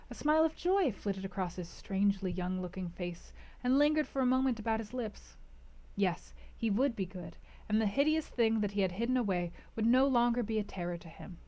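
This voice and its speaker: A woman reading a classic novel aloud as a book club host, with theatrical emphasis, dramatic pauses and swings from hushed to intense. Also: a little high.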